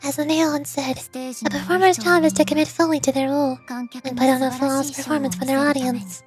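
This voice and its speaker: A woman voicing a cool, deadpan young magician's assistant in a video game, quietly accusing. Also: sits high, fairly quick, music in the background, lightly acted. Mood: cold, accusing. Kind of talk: video game character voice acting.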